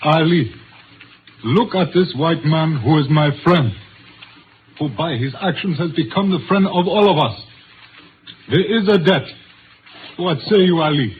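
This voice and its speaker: Through a narrow, faintly hissing 1950s radio recording comes a solemn Chinese tong leader, acted by a man. He is dignified and fatalistic, choosing formal, ceremonious phrases in a somewhat high voice. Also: unhurried, pauses often, lightly acted. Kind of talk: 1950s radio drama acting. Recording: scratchy, narrow-band old radio recording.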